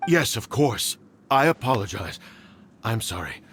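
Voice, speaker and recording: A man's voice: mid-range voice, big pitch swings, a little slow, fairly bright tone, slight background noise. A man voicing a deferential male clan elder in a video game, submissive and apologetic toward his ruler.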